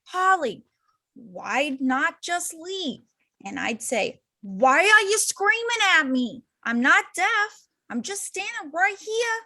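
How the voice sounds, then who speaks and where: A woman acting young clown villainess, very high voice, flighty, chatty, unhinged. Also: sing-song, slow, sharp tone, exaggerated voice. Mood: wounded, unhinged. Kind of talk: amateur screenplay table read.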